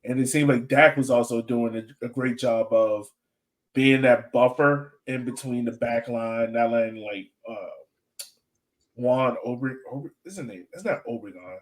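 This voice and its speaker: A man's voice: mid-range voice, measured pace, low-quality recording. Man hosting a casual soccer chat. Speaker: soccer podcast host.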